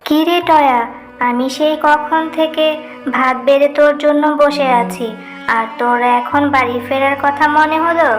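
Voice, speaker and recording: A woman's voice: sits high, fairly even intonation, unhurried, soft background music. A woman voicing a poor, hardworking animal mother in a cartoon, patient, humble and placating. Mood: mildly chiding. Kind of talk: cartoon voice acting.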